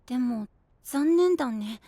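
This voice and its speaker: 明るく可愛らしい少年を演じる男性声優。甘く弾むような少年らしい話し方。. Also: male voice, extremely high pitch, lively intonation, unhurried, warm tone, dry recording, clean studio sound, heavily acted. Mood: sad. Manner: bubbly, sweet, boyish. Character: cute cheerful young boy, playful companion. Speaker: voice actor. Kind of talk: anime voice drama.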